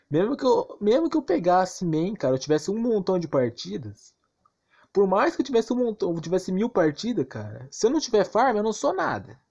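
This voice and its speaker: A man's voice: fairly high pitch, a little mellow, slightly rough. A man with a Brazilian accent giving a casual, ranting game commentary, slangy and crude, emphatic and repetitive with rhetorical questions. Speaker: gaming YouTuber.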